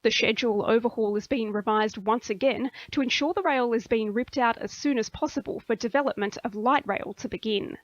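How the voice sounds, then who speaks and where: A woman's voice: a little high, no echo, muffled recording. A woman with an Australian accent reading scripted news as a radio newsreader.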